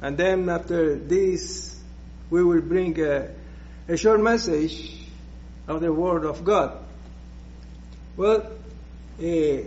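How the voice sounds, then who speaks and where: Slow, hesitant Puerto Rican preacher. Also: male voice, high-pitched, darkish tone, lo-fi sound. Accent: Puerto Rican Spanish. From Puerto Rico. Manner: slow, hesitant, with many restarts. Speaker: guest preacher. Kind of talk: personal testimony in church.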